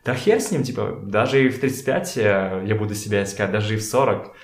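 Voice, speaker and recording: A man's voice: fairly low pitch, quick pace. A man in his twenties chatting casually and informally, using slang, as a guest on a podcast. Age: 20s. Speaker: podcast guest, photographer and art director. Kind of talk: casual conversation. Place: room in a home. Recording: roomy home recording.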